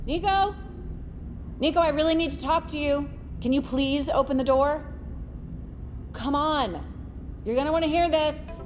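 An actress playing an earnest, conspiratorial researcher in an audio drama, insistent and persuasive. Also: female voice, sits high, measured pace, pauses often, slight background noise. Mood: pleading.

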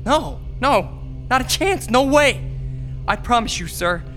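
A terrified young prisoner in a horror radio play, his voice very high and piercingly tense. He stammers, repeats himself and pleads desperately, over faint music. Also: animated, takes it slowly, fairly bright tone, exaggerated voice. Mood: desperate pleading. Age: young adult. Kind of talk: radio horror drama acting.

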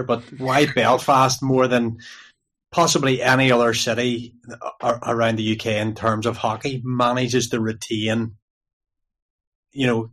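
A man's voice: mid-range voice, a bit bright. A man, co-hosting a podcast in rambling, chatty fashion. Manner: rambling, chatty, laughs mid-sentence. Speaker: podcast co-host. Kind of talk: casual podcast chat.

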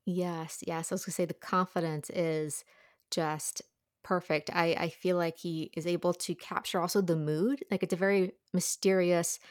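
Low-voiced woman discussing books, close dry sound. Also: darkish tone, dry recording. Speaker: podcast guest, book reviewer. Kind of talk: conversational book discussion.

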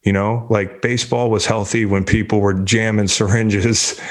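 A man's voice: lowish voice, flat delivery, moderate pace. A man in his thirties hosting a casual sports show, joking, rambling and swearing freely, a bit congested.

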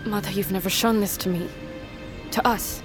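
A frank young woman played by an actress in a fantasy audio drama, with a medium-pitched voice. Her manner is wry, teasing and familiar, like an old friend's, with music clearly behind her. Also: fairly even intonation, moderate pace, close to an everyday voice. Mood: pleading, surprised. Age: young adult.

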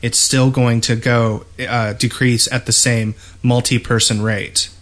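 Male podcast host, mid-pitched, explanatory and a bit halting, in relaxed gamer discussion. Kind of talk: casual gaming discussion.

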